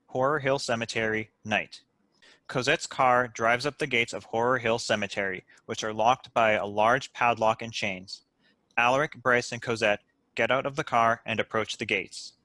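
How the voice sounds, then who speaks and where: Bright, crisp-edged man narrating a script. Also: mid-range voice, moderate pace, no room sound. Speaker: narrator reading stage directions. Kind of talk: scripted narration at a table read.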